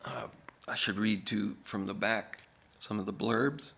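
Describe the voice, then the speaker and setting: A man reading aloud as an author, in a deadpan, measured manner with deliberate pauses. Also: fairly low pitch, gravelly, slightly rough. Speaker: author reading own book.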